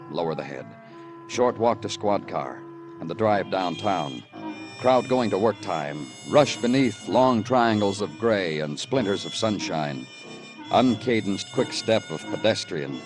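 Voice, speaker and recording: An actor playing a world-weary police detective in old radio noir, speaking in brooding, poetic, clipped phrases. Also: male voice, low voice. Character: world-weary male police detective narrating his own case. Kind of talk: 1950s radio noir narration.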